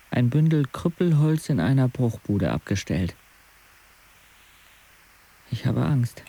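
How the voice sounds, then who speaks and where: A man's voice: medium pitch, creaky, mellow, slight background noise, exaggerated voice, rough recording. An actor playing a sardonic paralysed teenage boy, narrating his life intimately in a dry, laconic way in a radio drama. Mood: uneasy. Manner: dry, laconic, clipped phrases.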